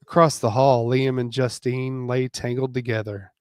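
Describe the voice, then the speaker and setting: A man narrating a dramatic romance reading theatrically, with deliberate pauses, on a close microphone. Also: medium pitch, level tone, darkish tone, clear, resonant tone, dry recording. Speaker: narrator and reader.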